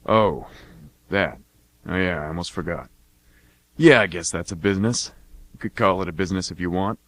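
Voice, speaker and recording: Low-voiced laid-back older brother played by a man. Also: moderate pace, a bit bright, dry recording. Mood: cagey, casual. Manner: casual, folksy. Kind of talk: amateur radio drama acting.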